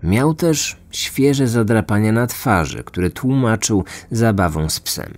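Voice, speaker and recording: A man's voice: fairly low pitch, expressive, slow, faint music behind. A man narrating a scripted true-crime documentary as its host.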